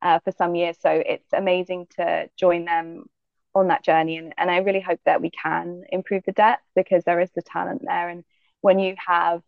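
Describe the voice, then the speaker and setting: A young woman from Jamaica with a low, gravelly voice talks through her answers in a relaxed, thoughtful way, pausing on frequent fillers. The sound is dry and a little dull. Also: no room sound. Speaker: guest athlete. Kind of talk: interview answers.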